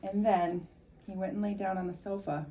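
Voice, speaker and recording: A woman reading a children's story aloud as a volunteer, with animated, emphatic delivery. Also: mid-range voice, normal speed, a little hiss or hum, poor audio.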